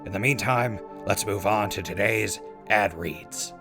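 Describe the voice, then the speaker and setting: Audio drama role, male actor: post-apocalyptic ghoul radio host, deep register, dry and grumbly, casual news reads over music. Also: unhurried, vocal fry. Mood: wry, easygoing. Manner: wry, grumbling, informal. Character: wry, laid-back ghoul radio host in a wasteland. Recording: music behind voice.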